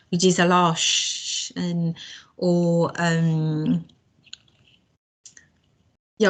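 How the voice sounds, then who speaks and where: Guest voice: young woman, unhurried, lowish voice, halting speech full of ums. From Spain. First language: Spanish. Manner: hesitant, many fillers and restarts. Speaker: language student guest. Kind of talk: casual podcast conversation.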